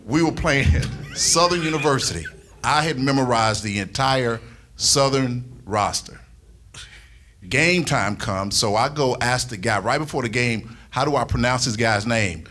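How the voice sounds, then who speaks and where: A man's voice: mid-range voice, a little slow, fairly bright tone. A man, a radio sportscaster, casually telling a story as a member of a panel.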